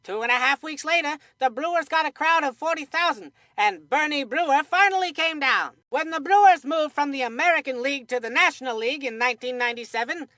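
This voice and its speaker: A woman's voice: high voice, fairly quick, hardly any pauses, pushed and bright, no echo. A woman voicing a cheerful, chatty kid baseball commentator in a video game, with folksy, scripted delivery. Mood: cheerful, informative. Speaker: sports commentator.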